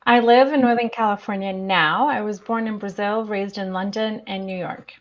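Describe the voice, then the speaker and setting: A woman's voice: medium pitch, steady tone, moderate pace, lo-fi sound. A woman leadership coach introducing herself on a panel, upbeat and polished.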